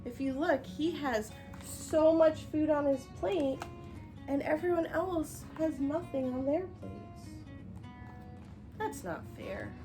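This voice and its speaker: Lady teacher doing a read aloud for little kids, high pitched, really expressive, explains stuff, bit of music behind. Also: slow. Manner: expressive, instructive, addressing young viewers directly. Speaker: children's teacher reading a picture book. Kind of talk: storybook read-aloud with explanations for young children.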